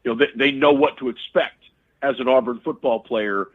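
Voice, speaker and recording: A man's voice: medium pitch, moderate pace. A man talking casually as a sports radio analyst, rambling with many restarts and fillers. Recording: tinny phone-line audio.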